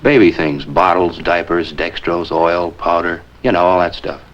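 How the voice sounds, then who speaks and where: A man's voice: sits low, steady tone, normal speed, natural voice. An actor playing a helpful policeman in a 1950s drama, reporting in a chatty, matter-of-fact way. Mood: brisk, informative. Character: brisk, helpful male policeman reporting a lead. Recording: dull vintage soundtrack, faint noise.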